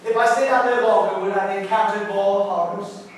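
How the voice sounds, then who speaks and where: Echoing far-off theatre recording, male actor as haunted polite gentleman, high voice, halting formal delivery, stage drama. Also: steady tone, natural voice. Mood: haunted, pressing. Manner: halting, stammering, formal speech. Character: shaken, haunted, polite man recovering from terror. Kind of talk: live stage play acting. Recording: echoey theatre stage from far off, faint noise.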